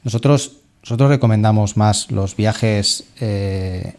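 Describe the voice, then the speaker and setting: Man, podcast host, low pitch, mellow dark tone, casual questions. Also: slow. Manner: conversational, frequent fillers and restarts. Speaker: podcast host, travel agency head.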